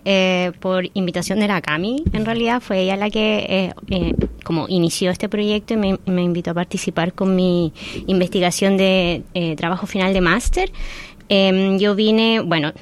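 A woman from Chile, a researcher and podcast guest, talking in a hesitant, conversational way with many fillers. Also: mid-range voice. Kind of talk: podcast interview.

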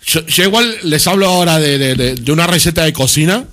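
A man's voice: a little high, animated, fairly quick, fairly bright tone. A man co-hosting a radio show, chatting casually, rambling, repeating himself and trailing off.

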